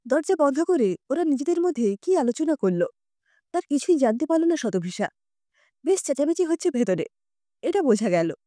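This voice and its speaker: A woman's voice: high-pitched, warm tone, no echo. A woman narrating a scripted audio story expressively, as a storyteller.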